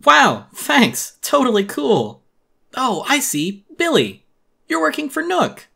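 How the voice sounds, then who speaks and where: A man's voice: very high voice, wildly swooping pitch, slow, vocal fry, sharp tone, slightly rough, voice pushed up, exaggerated voice. A man voicing a chirpy, flippant cartoonish duck neighbor who gushes in slangy exclamations. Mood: excited, chirpy. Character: chirpy toupee-wearing male duck neighbor, flippant and excitable.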